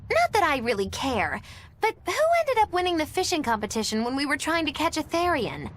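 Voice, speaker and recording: A woman's voice: high-pitched, wildly swooping pitch, fairly quick, a bit bright, heavily acted. A woman playing a playful, teasing character in an anime-style video game, with a coaxing, theatrical delivery. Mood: casual curiosity.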